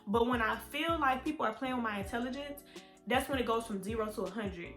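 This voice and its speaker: A woman's voice: fairly high pitch, a little fast, fairly bright tone. A woman, chatting candidly to her viewers in a storytime vlog. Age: 20s. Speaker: vlogger. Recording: echoey room with faint music.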